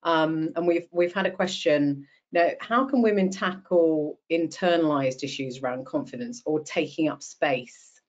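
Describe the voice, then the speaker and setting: A woman's voice: deep voice, normal speed, hardly any pauses. A woman hosting and moderating an online panel, speaking with small hesitations and repeated words. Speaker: host. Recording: dull lo-fi video call audio.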